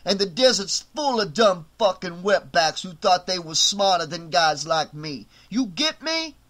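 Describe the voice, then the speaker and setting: A man acts out a bullying hotel supervisor who snarls threats at his staff. The voice sits high and hard-edged, and every line is pure contempt. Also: unhurried, fairly bright tone. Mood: menacing. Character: menacing brutish male hotel supervisor, bullying.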